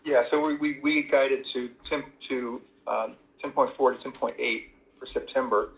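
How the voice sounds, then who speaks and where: A man answering on an earnings call as a finance executive, measured with a few fillers. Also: medium pitch, fairly even intonation, vocal fry, muffled recording.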